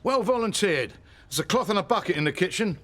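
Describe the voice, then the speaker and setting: A man's voice: sits high, animated, fairly quick, fairly bright tone. An actor playing a long-suffering, affectionate middle-aged publican and father in a naturalistic radio soap. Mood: straining, brisk. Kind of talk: naturalistic radio drama acting.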